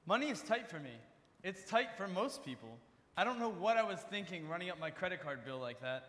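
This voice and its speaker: A man's voice: high voice, animated, a little fast, a bit bright. A young man playing an anxious college student confessing his worries in a staged monologue. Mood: worried, regretful. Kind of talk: staged readers theater monologue. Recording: echoey hall recording.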